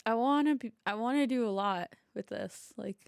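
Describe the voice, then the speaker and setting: Chatty, giggly woman with a smooth voice. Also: fairly high pitch, normal speed, no room sound, clean studio sound. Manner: chatty, rambling, giggly, with many "like"s. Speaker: podcast host. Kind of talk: casual podcast chat.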